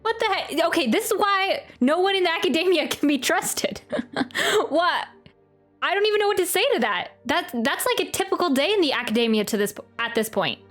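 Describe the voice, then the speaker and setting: A woman's voice: extremely high pitch, animated, a little fast, fairly bright tone. A woman giving casual, playful live commentary on a game, informal and slangy. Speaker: streamer playing and reacting to a game. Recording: faint game music behind.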